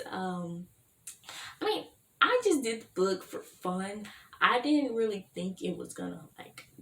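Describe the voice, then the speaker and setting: A tired young woman, her voice going hoarse, chatting in a rambling vlog with lots of "like" and "you know what I mean". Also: mid-range voice. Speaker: YouTuber, fan-fiction writer. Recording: echoey room with faint hiss.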